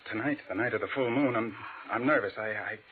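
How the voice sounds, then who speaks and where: An actor playing an anxious, apologetic husband haunted by a threat, halting and repeating words in an old-time radio mystery. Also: male voice, lowish voice, crowd noise, close to an everyday voice. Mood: nervous. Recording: narrow-band vintage radio recording.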